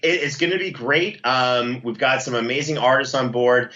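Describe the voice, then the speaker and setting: A man's voice: mid-range voice, moderate pace, sharp tone, muffled recording. A man chatting casually as an interview guest, enthusiastic and effusive about what he talks about. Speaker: podcast producer and guest.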